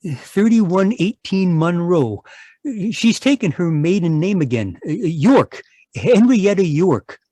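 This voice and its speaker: A man's voice: sits high, very animated, slow pace, dark tone, dry recording, exaggerated voice. An actor playing an anxious, hesitant husband in fear for his life, stammering, in an old-time radio mystery. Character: anxious, wronged husband seeking his wife and fearing murder. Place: home, live video call performance.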